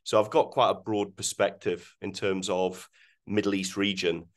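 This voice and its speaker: A man's voice: low-pitched, level tone, normal speed. A Scottish man in his forties presenting on a video call, speaking with many ums and restarts. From Scotland. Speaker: webinar guest speaker. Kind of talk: presenting slides in a webinar.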